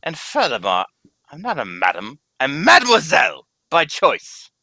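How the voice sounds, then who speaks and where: A self-possessed, theatrical mademoiselle as a performer plays her in an old-time radio murder mystery. She is exclamatory and snappy, with a sharp, bright edge and huge pitch swings. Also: huge pitch swings, normal speed, no room sound, heavily acted. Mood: indignant.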